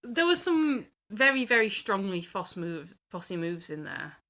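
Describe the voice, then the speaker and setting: A woman's voice: medium pitch, very animated, measured pace, sharp tone. A woman in casual conversation as a podcast panellist, with an emphatic way of talking. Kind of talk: casual chat.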